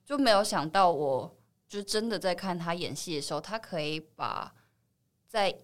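A woman's voice: on the low side, animated, moderate pace. A woman with a Taiwanese Mandarin accent, a film actress answering interview questions in a thoughtful, explanatory way, now and then mixing in English words. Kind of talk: reflective interview chat.

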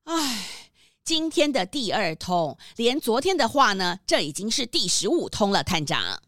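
A woman voicing a polite, patient woodpecker secretary in a children's detective audio drama, soothing and expressive. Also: mid-range voice, a little slow, dry recording, clean studio sound. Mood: concerned.

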